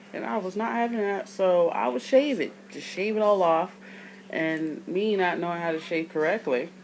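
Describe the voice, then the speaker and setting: A woman in her thirties with a deep voice, just out of bed, talking to her camera in a chatty, rambling way with side notes. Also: slight background noise. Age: 30s. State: just woke up. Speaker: vlogger. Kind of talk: casual talk to camera.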